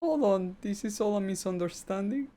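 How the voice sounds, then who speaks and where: Man putting on a whiny, high henpecked husband. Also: expressive, normal speed, warm tone. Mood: flustered, pleading. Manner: whiny, apologetic, flustered. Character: cowed, pleading henpecked husband, a vice commissioner. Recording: music behind the voice.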